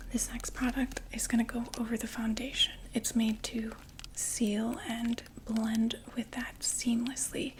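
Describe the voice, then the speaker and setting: A woman in an ASMR roleplay voicing a chatty undead female vampire makeup saleswoman, whispering softly and reassuringly. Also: a little high, natural voice, poor audio. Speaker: ASMR performer.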